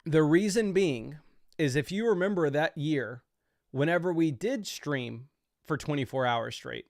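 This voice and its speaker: A man's voice: a little high, unhurried, gravelly, darkish tone, dry recording, studio quality. A young man hosting a fantasy football livestream, talking loosely and casually with lots of fillers as he explains his picks.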